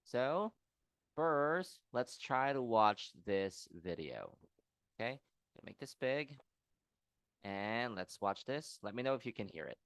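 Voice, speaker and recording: A man's voice: medium pitch, big pitch swings, slow, a bit bright. A man teaching an English class over a video call, patient and encouraging, checking in with his students often.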